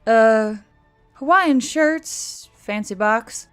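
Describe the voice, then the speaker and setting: A woman's voice: on the high side, drawn-out words, smooth, faint music behind. Woman acting sarcastic, hot-tempered scavenger woman. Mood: awkward. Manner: sour, exasperated, biting.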